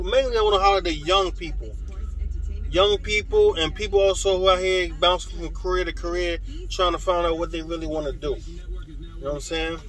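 High-voiced New York man, streetwise and emphatic. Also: fairly bright tone, low-quality recording. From New York, United States. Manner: streetwise, slangy, emphatic, repeats phrases and seeks agreement. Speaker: HVAC tradesman and channel host. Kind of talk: casual motivational talk to camera.

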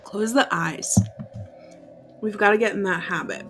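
A woman's voice: medium pitch, a little slow, a bit bright, soft background music. A woman in her twenties giving casual, exasperated, sweary reaction commentary while watching a show. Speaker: reaction video host. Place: small bright bedroom, indoors.

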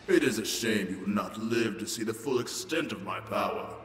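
An actor playing a menacing male warlord who talks slowly and grandly, in an echoey space with music. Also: lowish voice, expressive. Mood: gloating. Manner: slow, grandiose, gloating with cackling laughs. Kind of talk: fan audio drama acting. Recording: roomy echo with long reverb and music.

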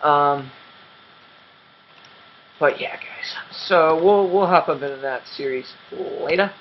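Young male gaming host, slightly high voice that cracks, rambling and self-deprecating; dull lo-fi mic with faint hiss. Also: slow. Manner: rambling, self-mocking, many ums and restarts. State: voice cracking and dry. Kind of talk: casual game commentary.

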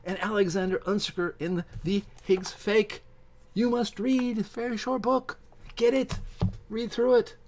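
A man's voice: high-pitched, unhurried, a little hiss or hum. Emphatic older man commenting. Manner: emphatic, animated delivery with asides. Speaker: video host and science commentator. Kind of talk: informal commentary while reading aloud from a book. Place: indoors, home study with bookshelves.

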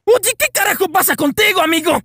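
A woman's voice: extremely high pitch, lively intonation, fast talker, noisy background. A woman with a Latin American Spanish accent voicing a brash, callous young male hedgehog in a cartoon fan dub. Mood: flippant.

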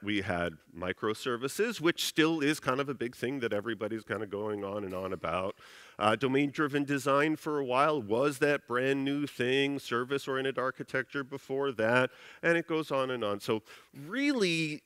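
A man's voice: medium pitch, a bit bright, dry recording. A middle-aged man giving a casual, conversational conference keynote on a large stage, speaking into a headset mic with frequent "uh" fillers.

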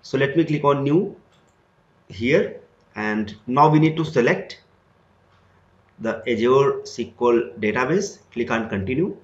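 A man at a mid-range pitch explains a software setup step by step, leaning on "so" to link each click to the next. His voice sounds roomy, as if recorded in a bare room, and the audio is a bit dull and lo-fi. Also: animated, measured pace, pauses often. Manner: step-by-step explanatory, repeats "so" often. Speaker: tutorial instructor. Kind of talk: screen-recorded software tutorial.

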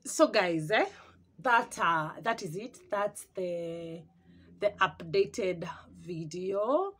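A woman's voice: fairly low pitch, lively intonation, drawn-out words, a bit bright. A woman in her twenties with a Kenyan accent chatting casually and animatedly for a home vlog, mixing in Swahili and searching for words. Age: 20s. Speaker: vlogger. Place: small room at home.

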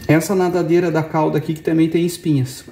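A man in his forties, from Brazil, hosting a fishing channel and calmly explaining how to fillet a fish, step by step, in an indoor kitchen. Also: a little high, fast talker, a little hiss or hum. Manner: calm step-by-step explaining, pointing things out. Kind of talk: cooking demonstration. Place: indoor kitchen with brick and wood walls.

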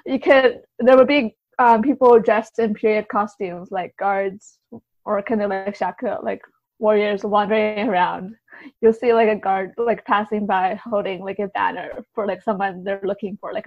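A woman speaking as an interview guest, casually recounting experiences in a halting, think-aloud way. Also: medium pitch, fairly even intonation, no echo, highs cut off. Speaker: podcast guest, writer and translator.

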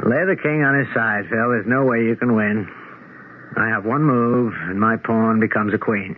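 An actor playing a blunt, dry, skeptical scientist in a 1970s radio drama. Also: male voice, fairly low pitch, normal speed, natural voice. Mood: friendly. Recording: tinny, noisy 1970s radio broadcast.

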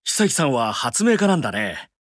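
An actor playing a friendly, earnest muscular adventurer in an anime mobile game, gentle and upbeat in manner. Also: male voice, medium pitch, big pitch swings, moderate pace, no room sound. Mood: friendly, admiring.

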